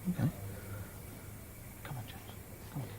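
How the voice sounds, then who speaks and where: A man's voice: unhurried, background noise, lightly acted. An actor playing a hesitant, guilty middle-aged husband and father in a radio play, placating. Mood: subdued. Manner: faltering, placating.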